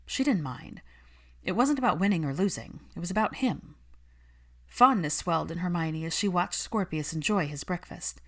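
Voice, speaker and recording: Lower-pitched woman narrating a story. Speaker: audiobook narrator. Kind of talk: scripted fan fiction narration.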